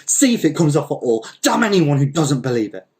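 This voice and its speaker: A man voicing a proud, stubborn old male repairman in a storybook reading, indignant and insistent, his voice husky. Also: on the high side, very animated, fairly quick, darkish tone, pitched above the performer's own voice.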